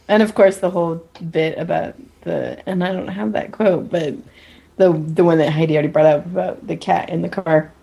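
Husky-voiced woman, wry and casual. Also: lowish voice, fairly even intonation, normal speed, dark tone, rough recording. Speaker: podcast co-host. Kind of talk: casual TV recap chat with friends.

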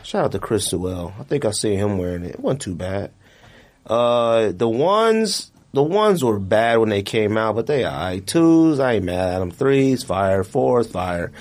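Man, podcast co-host, lively swooping pitch, slangy, opinionated, casual banter. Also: a little slow. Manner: slangy, informal.

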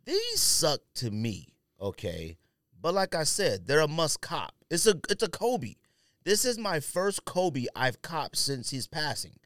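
A man's voice: a little high, fairly bright tone, no room sound, clean studio sound. A man co-hosting a sneaker podcast in casual, unscripted banter, talking in slang and giving blunt opinions. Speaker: podcast co-host reviewing sneakers.

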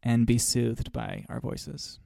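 A man chats easily as co-host of a laid-back podcast, upbeat and peppered with fillers. His voice carries a gravelly, fry-tinged edge. The sound is dry and close, with no room around it. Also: mid-range voice, little pitch movement, slow, warm tone, dry recording. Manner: chatty, upbeat, frequent "um" and "like". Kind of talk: casual chat.

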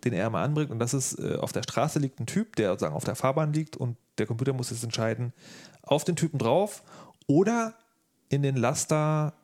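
A man hosting a casual podcast, thinking out loud in informal, slangy language. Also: medium pitch, animated, fairly quick. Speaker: podcast host and interviewer.

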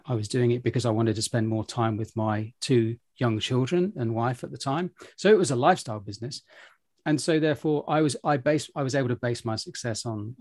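A man's voice: medium pitch, darkish tone, no echo, studio quality. A man talking as a podcast guest, earnest and upbeat, rambling with many restarts. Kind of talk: casual interview chat.

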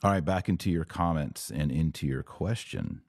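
A man with a low-pitched voice speaks in a close, dry recording. He explains religious ideas to podcast viewers in an earnest, conversational way, often posing rhetorical questions. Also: normal speed, a little mellow, dry recording. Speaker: podcast host. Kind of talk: casual religious talk answering viewer comments.

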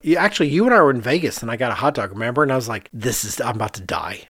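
A man hosting a podcast in casual chat, with an animated, joking way of talking. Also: mid-range voice, racing, breathy edge, no echo, clean studio sound. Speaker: podcast host.

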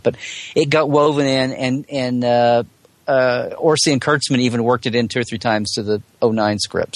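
A man with a mid-pitched voice talks as a guest in a casual interview. He explains at length in a chatty way and drops in joking asides. The sound is dry, with little room in it. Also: no room sound. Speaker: returning podcast guest.

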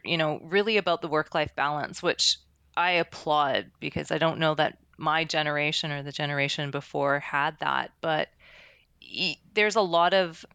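A woman's voice: low-pitched, gravelly, fairly bright tone, clear, resonant tone, no echo. A middle-aged woman giving candid, explanatory answers in a conversational interview. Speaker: interview guest, air traffic controller and career coach.

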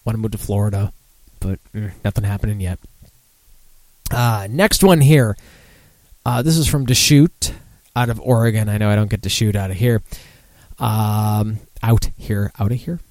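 A man's voice: fairly low pitch, moderate pace, long pauses, darkish tone, no echo. A mildly tipsy man hosting a casual live podcast, rambling and swearing in a self-deprecating way. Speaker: podcast host. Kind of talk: casual live beer-news chat.

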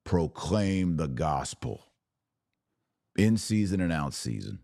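Deep-voiced American man, emphatic religious commentator. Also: lively intonation, takes it slowly, darkish tone, no echo, clean studio sound. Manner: emphatic, preachy, punchy short phrases. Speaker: podcast host taking viewer questions. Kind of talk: impassioned religious commentary, off the cuff.

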